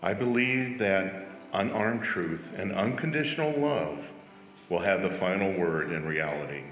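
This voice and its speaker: A man's voice: low voice, animated, measured pace, a bit bright. A man reading quotations aloud as a church member. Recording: echoey hall, music underneath. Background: music.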